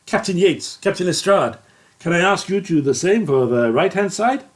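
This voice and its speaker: A narrator voicing a calm, decisive male French officer with a measured, firm delivery. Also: mid-range voice, pitched above the performer's own voice, close to an everyday voice.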